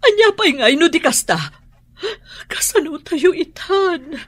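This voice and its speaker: A woman's voice: extremely high pitch, expressive, measured pace, fairly bright tone, heavily acted. A woman with an Ilocano accent playing a worried, scolding middle-aged mother in a radio drama. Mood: anxious, distressed.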